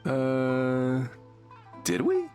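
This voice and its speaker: A man's voice: medium pitch, huge pitch swings, very slow, a little mellow, soft background music, exaggerated voice. A man playing a scatterbrained, untroubled fisherman in a video game, airy and nonchalant. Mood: vague, amused.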